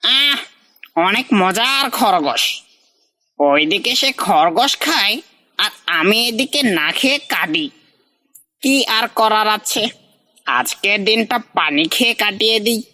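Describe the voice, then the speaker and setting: A sly, hungry little cartoon fox, played by a woman, with a forceful, bright voice at an ordinary height. It fawns on the powerful and mutters resentfully to itself, always the scheming underdog. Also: mid-range voice, animated, a little slow. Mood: gloomy self-pity. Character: small, hungry, cunning underdog fox, servile yet resentful. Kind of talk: scripted cartoon dialogue.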